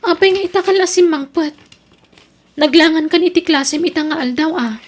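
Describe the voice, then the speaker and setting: Casting: actress as young female student and girlfriend, high voice, audio drama. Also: fairly quick. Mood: suspicious, upset. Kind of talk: Ilocano audio drama acting.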